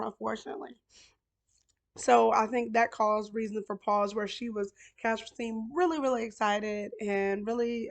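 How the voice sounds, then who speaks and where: Hoarse chatty woman vlogger. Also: on the high side. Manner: chatty, talks straight to contestants. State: hoarse, lost voice. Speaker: reality show recap vlogger. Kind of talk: casual chat.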